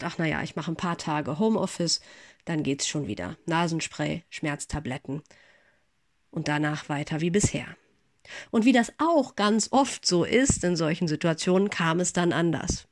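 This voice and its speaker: A middle-aged woman pastor giving a calm spoken devotional, her voice a little congested as she recovers from an infection. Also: on the low side, dry recording, clean studio sound. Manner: calm, gentle, reflective address to listeners.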